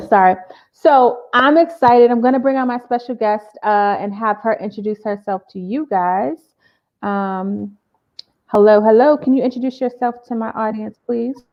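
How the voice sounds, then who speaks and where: A woman's voice: fairly high pitch, a little slow, muffled recording. A woman therapist hosting a casual live video interview, talking with many ums and uhs. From Boston area. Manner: warm-up chatter with many fillers. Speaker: therapist and live show host.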